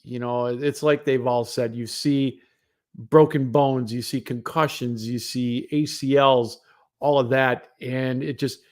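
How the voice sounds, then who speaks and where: Rambling conversational male sports show host. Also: medium pitch. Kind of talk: casual solo sports broadcast monologue.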